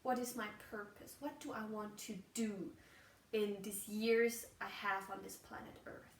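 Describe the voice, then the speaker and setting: A woman's voice: medium pitch, fairly even intonation, unhurried. A woman with a German accent, a native German speaker, hosting her own podcast in a casual, reflective monologue, musing and searching for words, often restarting her sentences. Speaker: podcast host. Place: outdoors while walking. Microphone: phone voice memo held away. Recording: roomy, distant phone memo with faint ambience.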